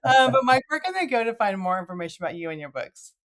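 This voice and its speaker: A woman's voice: a little high, sing-song, quick pace, fairly bright tone. A woman hosting a conversational podcast interview in an effusive, agreeable manner. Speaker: podcast host.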